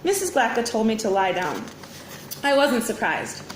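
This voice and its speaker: A woman reading a children's story aloud in an expressive, dramatic teacher's storytelling manner. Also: on the high side, moderate pace. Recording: roomy echo, faint background noise.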